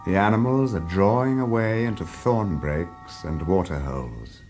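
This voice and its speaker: A man narrating an audio drama in a deliberate, expressive, dramatic storytelling style. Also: sits low. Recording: loud music under the voice. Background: music.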